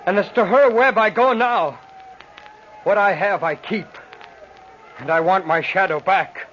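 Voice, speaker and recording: A man's voice: sits high, a little slow, fairly bright tone, some chatter behind. A man playing a haunted, hot-tempered young nobleman in an old radio horror drama, theatrical and intense. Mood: bitter, demanding. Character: haunted, raging young nobleman obsessed with his lost shadow. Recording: dull, noisy old radio recording.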